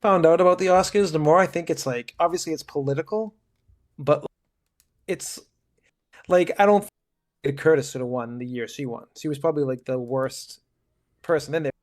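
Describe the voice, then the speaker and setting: A man whose voice sits somewhat high for a man, firing off confident opinions in a casual podcast chat. He talks in short, sure bursts and sticks to his views. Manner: opinionated, quick takes on film awards. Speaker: podcast co-host.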